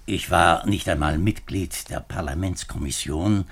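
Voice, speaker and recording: Deep, husky, troubled government minister. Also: male voice, measured pace, full character voice. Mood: grave, explanatory. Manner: hesitant, confiding, formal. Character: troubled male French government minister, reluctant politician, earnest. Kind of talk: 1950s German radio drama acting.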